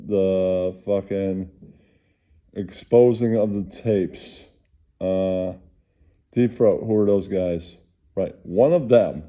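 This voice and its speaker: A man hosting with casual, rambling political commentary, halting and repeating himself, sometimes crude. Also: on the low side, dark tone. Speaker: host.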